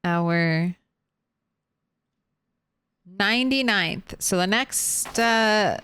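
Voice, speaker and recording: A woman's voice: medium pitch, background noise. A young adult woman streaming a game, chatting casually, thinking aloud and laughing often. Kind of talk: casual chat while gaming.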